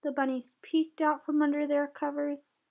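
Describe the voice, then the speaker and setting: A woman tutor reading a storybook aloud to young children in an expressive way. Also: sits high, normal speed, gravelly, lo-fi sound. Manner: expressive, voices the characters' dialogue.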